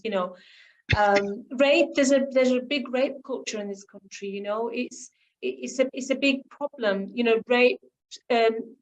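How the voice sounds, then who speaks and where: A middle-aged woman speaking as a guest author in a radio discussion, earnest and halting, often repeating herself. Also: on the high side, highs cut off.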